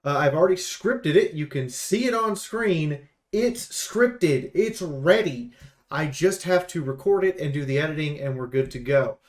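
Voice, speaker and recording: A man's voice: a little high, lively intonation, unhurried. A man giving casual, opinionated game preview commentary in an emphatic, rambling, sweary way. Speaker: YouTube host and game reviewer.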